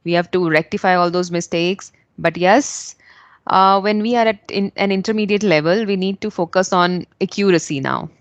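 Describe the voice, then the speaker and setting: A woman's voice: on the low side, normal speed, no room sound, lo-fi sound. An Indian woman hosting a casual English practice conversation, earnest and encouraging, speaking English as a second language. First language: not English. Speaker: host.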